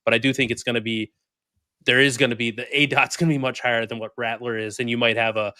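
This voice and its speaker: A man's voice: medium pitch, little pitch movement, quick pace, sharp tone, dry recording, clean studio sound. A man analyzing football bets casually, rambling a little with lots of fillers. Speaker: betting analyst. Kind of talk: casual sports betting discussion.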